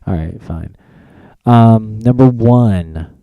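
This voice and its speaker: Male podcast host, low and relaxed, closely recorded and dry, casual countdown style with halting, repetitive phrasing. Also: no echo.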